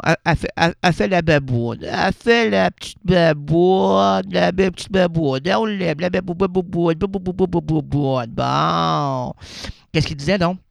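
Rambling Québécois man with a gravelly voice. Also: on the high side, no echo. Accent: Québécois French. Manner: playful, rambling, talks to himself. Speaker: radio host. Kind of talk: rambling absurd monologue.

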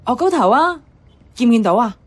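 A woman's voice: a little high, sing-song, brisk. An actress playing a nostalgic, adventurous young woman in a radio drama, chatting with a Hong Kong Cantonese accent. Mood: excited wonder. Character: nostalgic, playful, adventurous young woman revisiting her childhood neighbourhood.

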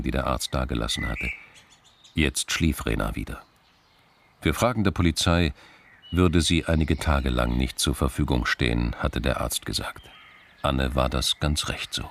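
Very deep-voiced male narrator. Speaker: narrator of a radio drama. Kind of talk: scripted literary narration.

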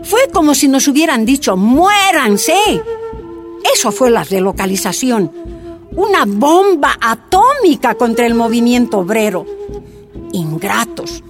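A bitter Bolivian miners' wife testifying in a radio play. Her voice is fairly high and rises and falls indignantly over background music. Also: very animated, a little slow.

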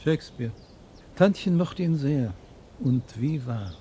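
A mellow male voice of middle pitch, playing a solemn bookish character in a 1960s radio crime play. He speaks with a declamatory touch over faint outdoor noise. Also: animated, slow pace. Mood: solemn, musing. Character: male associate of the inspector, solemn, literary-minded. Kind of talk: 1960s German radio crime drama acting.

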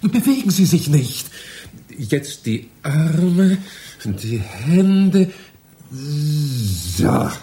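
An actor playing a polite, anxious, bumbling library visitor in a German radio play, with a hesitant, puzzled manner. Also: male voice, a little high, sing-song, drawn-out words, warm tone, exaggerated voice. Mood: alarmed, urgent. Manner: hesitant, puzzled, muttering to himself.

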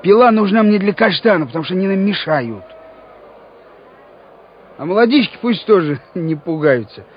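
Brash rustic soldier, high voice, good-natured; muffled old radio drama. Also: male voice, animated, normal speed, pauses often, slight background noise. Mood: loud, reassuring. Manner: folksy, loud, colloquial. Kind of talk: radio play acting.

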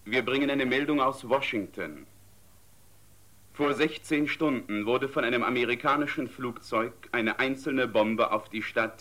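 A forceful, bright male voice of medium pitch delivers a 1940s radio bulletin. The announcer is grave and urgent, with clipped, formal emphasis.

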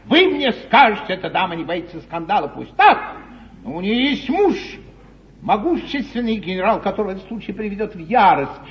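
An actor playing a suave, teasing aristocratic schemer in a theatrical court comedy, with a mocking, courtly, drawn-out delivery. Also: male voice, high-pitched, sing-song. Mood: mock-reasonable. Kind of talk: 1950s theatrical comedy stage acting. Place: large theatre stage before an audience. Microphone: distant stage microphone. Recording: muffled, noisy 1950s live theatre recording, distant.

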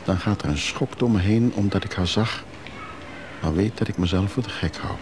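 A man's voice: on the low side, normal speed, natural voice. An actor playing a remorseful, melancholy traveling father in a confessional radio play monologue. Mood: wistful. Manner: reflective, melancholy. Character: brooding father and husband, traveler, craving drink, remorseful. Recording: noisy old radio play recording.

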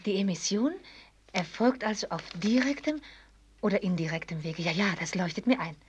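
An actress playing a proud, cerebral young woman in a German radio drama, articulate and formal, teasing and fervent by turns, with a breathy, husky voice. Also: mid-range voice, normal speed, slight background noise, natural voice. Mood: dry, attentive. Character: proud, cerebral young woman who rapturously loves mathematics.

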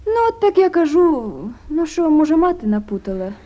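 A woman's voice: sits high, very animated, moderate pace, dark tone. An actress playing a poor, anxious village mother with a Ukrainian accent, rambling and plaintive in a naturalistic radio drama. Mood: plaintive, apologetic.

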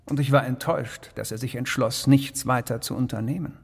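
Husky, pompous criminal mastermind, echoing hall. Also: male voice, mid-range voice, fairly even intonation. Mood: lofty, controlled. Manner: pompous, deliberate, precise. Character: cold, cultured criminal mastermind, menacingly calm and self-important. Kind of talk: radio drama acting.

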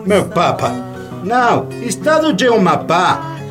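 A man's voice: high-pitched, sing-song, slow pace, far from the mic, music in the background, full character voice. A man playing an affable, gullible Brazilian president in a radio play, with a Brazilian accent, mixing Portuguese into Spanish. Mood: agreeable. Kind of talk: radio theatre satire.

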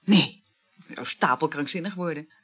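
A woman's voice: low voice, moderate pace, a bit bright, husky. A woman acting a flighty, chatty married woman having a secret affair in a naturalistic radio play, gossipy and matter-of-fact about scandal. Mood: gossipy, airy.

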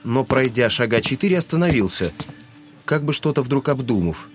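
A man's voice: mid-range voice, expressive, moderate pace. A man narrating a literary audio drama in a scripted, dramatic storytelling style. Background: music.

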